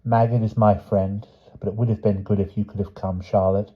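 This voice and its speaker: A man's voice: fairly low pitch, flat delivery, brisk, muffled recording, close to an everyday voice. A man voicing a brooding, proud boy in a storybook, speaking firmly and tersely. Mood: regretful.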